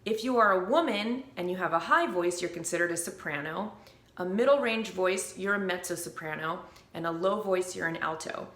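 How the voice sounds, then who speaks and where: Bright-voiced woman coaching singing. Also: lowish voice, moderate pace, reverberant. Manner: clear, upbeat teaching with sung demonstrations. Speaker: vocal coach. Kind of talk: instructional video.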